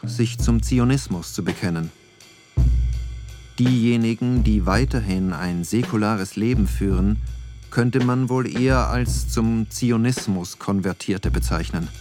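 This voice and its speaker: A man narrating a scripted documentary text for a radio play. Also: on the low side.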